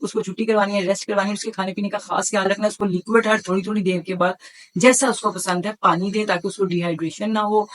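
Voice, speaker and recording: A woman's voice: mid-range voice, steady tone, quick pace, poor audio. A middle-aged woman, speaking as a doctor and giving explanatory health advice in an instructive way, often listing and repeating her points. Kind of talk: explanatory health talk to camera.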